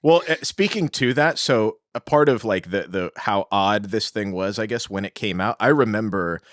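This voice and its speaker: A man's voice: fairly low pitch, moderate pace, dry recording, studio quality. A young American man co-hosting a podcast, explaining things in a casual, conversational style. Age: young adult. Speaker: podcast co-host.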